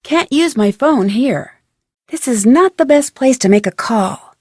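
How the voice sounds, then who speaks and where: A woman's voice: sits high, animated, a little slow, a little mellow, dry recording, clean studio sound. A woman playing a confident, polite young detective in a video game, composed, friendly and articulate. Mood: polite, casual.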